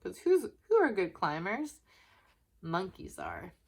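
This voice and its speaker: A woman narrating a children's picture book in an expressive way, with question-asking asides to young listeners. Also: on the low side, slow, vocal fry, slight background noise. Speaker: children's story reader.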